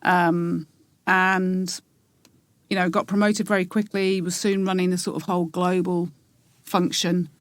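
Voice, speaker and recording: A woman in her forties, a podcast guest, talking in a reflective, halting way with many pauses and restarts. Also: lowish voice, level tone, no room sound. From England. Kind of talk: casual interview.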